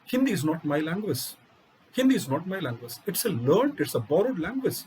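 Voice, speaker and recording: A man's voice: high voice, big pitch swings, a little fast, a little mellow, a little hiss or hum, low-quality recording. A middle-aged man with an Indian accent giving an impassioned lecture, firing rhetorical questions and hammering key phrases again and again. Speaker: UPSC coaching teacher.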